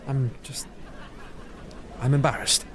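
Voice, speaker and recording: An actor playing a modest, deferential young knight in an audio drama, with a halting, polite delivery. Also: male voice, mid-range voice, level tone, some chatter behind, slight background noise, close to an everyday voice. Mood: embarrassed. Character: hesitant, deferential young knight, guarded and awkward.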